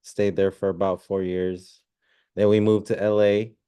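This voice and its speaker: A man's voice: low-pitched, flat delivery, unhurried, mellow, smooth. A middle-aged man who was born in Zambia and raised in Washington state, telling stories in a laid-back, slangy way. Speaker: guest musician and restaurant owner. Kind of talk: casual storytelling.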